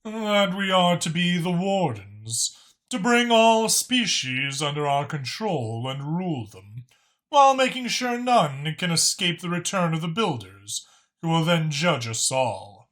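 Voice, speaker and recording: Man voicing a higher-pitched, bright, knowing alien dragon. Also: very animated, unhurried. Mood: solemn, explanatory. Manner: explanatory, emphatic. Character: Mach, knowing, talkative male alien dragon informant.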